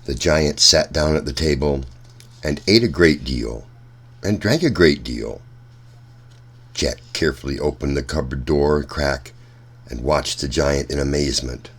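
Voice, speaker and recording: A man reading a children's story aloud as narrator, with deliberate, measured phrasing. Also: rumbling low, husky.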